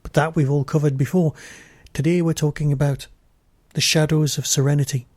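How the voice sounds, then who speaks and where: A man hosts a review podcast with prepared commentary. His voice is a little high yet mellow, recorded close and dry. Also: little pitch movement, brisk, no echo. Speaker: podcast host.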